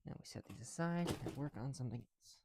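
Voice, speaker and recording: A man chatting informally as an ASMR creator, sweary and teasing, drifting between soft murmurs and louder talk, breathing audibly through a thick mask. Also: mid-range voice, expressive, quick pace, noisy background. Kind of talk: casual first-person ASMR build chat. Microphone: close mic, speaking through a mask.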